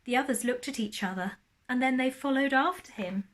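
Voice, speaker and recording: A woman narrating a children's storybook like a primary school teacher, expressive and lingering on key words. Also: high-pitched, brisk.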